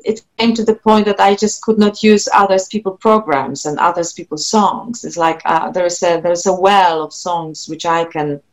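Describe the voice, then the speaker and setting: Polish woman musician, talks slow and thoughtful, restarts a lot. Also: on the low side, fairly even intonation, poor audio. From Poland. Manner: thoughtful, frequent restarts and soft laughs. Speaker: guest singer-songwriter. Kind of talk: reflective interview talk.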